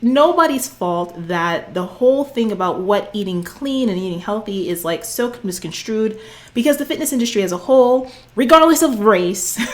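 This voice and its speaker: A fitness coach in her forties speaks straight to the camera, sassy and preachy, calling her listeners honey and girl. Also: medium pitch. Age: 40s. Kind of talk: direct-to-camera coaching talk.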